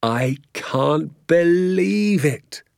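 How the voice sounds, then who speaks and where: A man's voice: mid-range voice, very animated, normal speed, a little mellow, dry recording, clean studio sound. A man voicing an exuberant, boastful father in a storybook, grandly emphatic and stretching his words with relish. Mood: elated.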